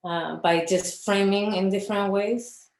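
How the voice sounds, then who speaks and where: A woman's voice: mid-range voice, fairly even intonation, measured pace, darkish tone, roomy sound. A woman from Chile, an artist speaking in an interview who searches for her words with many fillers and restarts. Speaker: filmmaker, interview guest. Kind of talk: reflective conversation about her films.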